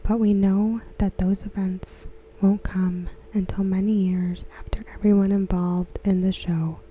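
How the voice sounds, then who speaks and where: Female ASMR reader: very dark, soft breathy near-whisper, even gentle delivery, some background noise. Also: mid-range voice. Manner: hushed, gentle, unhurried delivery. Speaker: ASMR creator. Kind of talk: soft-spoken ASMR read-aloud of written comments.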